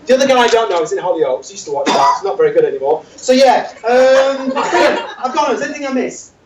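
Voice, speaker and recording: A man's voice: very high voice, lively intonation, fast talker. A man playing a gossipy, teasing manager who laughs through his lines. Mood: joking. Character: showy joking male boss, gossipy and cheeky. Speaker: health and safety manager. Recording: roomy, distant, dull, noisy hall.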